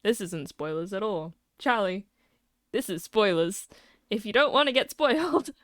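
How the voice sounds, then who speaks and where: A young woman streams a video game, chatting casually with playful, mock-dramatic asides. The delivery is lively and varied in pitch yet unhurried, with game music underneath. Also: no room sound, studio quality. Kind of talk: casual live gameplay commentary and chat. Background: faint to clear game music.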